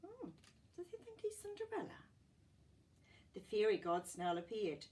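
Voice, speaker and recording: A woman reading a picture book aloud to children, expressive and exclamatory, with chatty asides to her young listeners. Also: mid-range voice, a little slow, distant mic. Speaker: children's storyteller.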